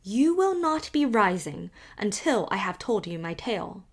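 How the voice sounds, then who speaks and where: A proud old widow , as a woman puts her on, speaks with a rich, powerful voice and a lilting Highland accent. Her regal, formal phrasing carries a faintly strange air, and her delivery swings widely in pitch and lands louder than the storytelling around it. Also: sing-song, a little slow. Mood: regal, insistent. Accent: Scottish Highland. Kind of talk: audiobook character reading.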